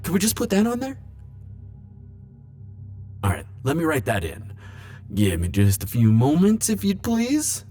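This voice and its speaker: A man playing a defensive, lovelorn immortal in an ASMR roleplay, speaking hesitantly with stammers and halting phrases. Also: a little high, huge pitch swings, mellow, faint music behind. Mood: casual, wry. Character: flirtatious, defensive male immortal pining for Grim Reaper. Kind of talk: ASMR roleplay monologue to the listener.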